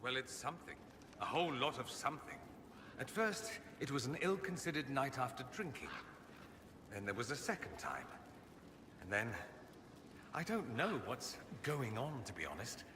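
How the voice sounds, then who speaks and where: A man's voice: mid-range voice, sing-song, normal speed, long pauses, close to an everyday voice. A man playing a witty, proud aristocratic mage in a video game, arch and droll. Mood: wry, sheepish. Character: witty, proud male aristocratic mage, dryly self-mocking. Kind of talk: video game character acting. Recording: roomy long reverb, noisy ambience.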